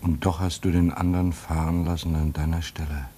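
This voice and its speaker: A man's voice: flat delivery, a little fast, dark tone, husky. A man acting in a German radio play as an earnest, troubled young man, speaking in a subdued way. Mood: moved, subdued. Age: young adult. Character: earnest, troubled young man of twenty-five at a remote outpost. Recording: muffled radio recording with low rumbling noise.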